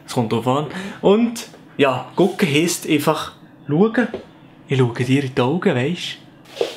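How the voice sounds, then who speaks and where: A man's voice: a little high, lively intonation, a little slow, slight background noise. A young man from the Canton of Fribourg with a Sensler Swiss German accent, playfully explaining his dialect to the camera at home. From Canton of Fribourg, Switzerland. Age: young adult. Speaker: YouTuber.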